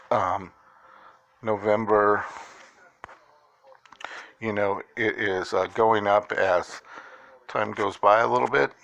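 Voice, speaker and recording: A man in his fifties talking casually through his investment portfolio, halting with many fillers and restarts. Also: fairly low pitch, vocal fry, a bit bright. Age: 50s. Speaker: investing vlogger. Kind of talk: casual screen-share walkthrough.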